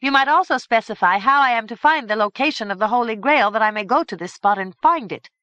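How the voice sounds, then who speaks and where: A woman voicing an earnest young male knight who speaks briskly. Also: fairly high pitch, lively intonation, a bit bright, smooth, no room sound, muffled recording, voice pushed up. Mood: eager.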